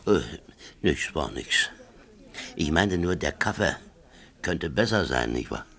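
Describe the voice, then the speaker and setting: An actor playing a cheeky, stubborn old working man in a radio play, gruff and colloquial. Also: rumbling low, lively intonation, slow pace, fairly bright tone, full character voice. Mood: dry, gruff. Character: grumbling, cheeky old working-class man, railway track walker. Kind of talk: naturalistic radio play acting.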